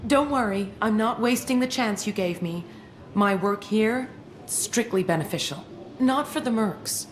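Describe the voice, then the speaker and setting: A woman's voice: medium pitch, measured pace, slight background noise, close to an everyday voice. A woman playing a wry, nervous female alien scientist in a video game, with a conversational delivery. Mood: defensive.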